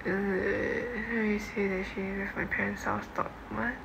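Young Singaporean woman, livestream host, medium-pitched and offhand, slangy casual chat. Phone mic in a room with clear background noise. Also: little pitch movement, a little slow. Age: young adult. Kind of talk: casual livestream chat, reading and answering comments. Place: home bedroom, indoors. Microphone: phone at arm's length. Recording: noisy phone recording in a room.

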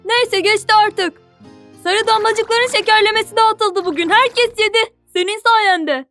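A woman's voice: very high-pitched, a little slow, pushed and bright, heavily acted. A woman voicing a small, caring and loyal young sea creature with a sincere, childlike way of talking in a cartoon. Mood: relieved, caring. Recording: music bed under the voice.

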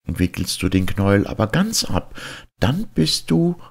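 A man's voice: fairly low pitch, sing-song, a little slow, gravelly, husky, pitched above the performer's own voice, full character voice. A man voicing an enchanted swan prince, solemn and pleading, in a fairy-tale reading.

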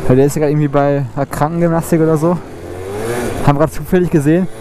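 A man's voice: fairly high pitch, steady tone, racing. A young man with a cold, chatting casually while riding his scooter for a motovlog. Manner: chatty, slangy, loose. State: has a cold. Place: outdoors on a moving scooter. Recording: loud engine and wind noise. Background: engine and wind noise.

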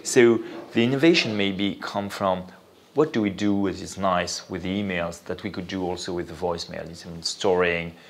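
Low-voiced Frenchman, halting interview talk. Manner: halting, thinking aloud with repeated "you know". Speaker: interview guest, venture capital investor. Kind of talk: spoken interview, explanatory talk.

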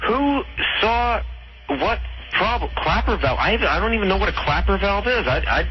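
A flustered man protesting over the telephone, his voice high and swinging widely in pitch. He stammers and restarts, and the line is muffled. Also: lively intonation, moderate pace, music in the background. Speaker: caller being pranked. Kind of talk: phone conversation. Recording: muffled, noisy phone call on radio.

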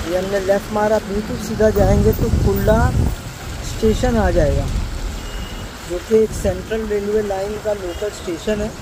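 High-pitched man vlogging amid loud noise. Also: fairly even intonation, normal speed. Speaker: travel vlog host. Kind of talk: casual vlogging narration and chat to camera. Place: on the move in a car, streets and train station at night. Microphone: handheld phone. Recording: noisy handheld outdoor recording, traffic and ambience.

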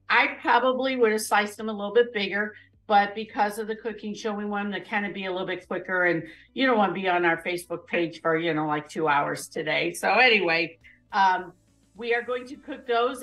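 A woman's voice: medium pitch, fairly bright tone, poor audio. A woman in her fifties hosting a live cooking show from her home kitchen, chatting casually and explaining each step as she goes.

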